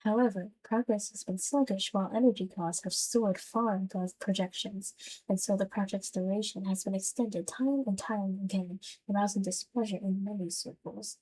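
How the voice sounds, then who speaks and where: A woman's voice: medium pitch, fairly even intonation, moderate pace, mellow, slight background noise, rough recording. A woman streamer giving casual video game commentary and reading game text aloud. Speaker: virtual streamer playing a game.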